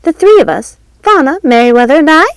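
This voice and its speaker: A woman voicing a haughty, prim young female fairy student with a shrill, gloating manner. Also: expressive, a little fast, dry recording. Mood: pleading.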